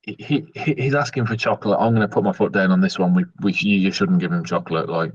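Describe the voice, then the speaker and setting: A husky man with a fairly low voice, in a slightly muffled recording. He argues earnestly and haltingly as he banters through a tabletop game.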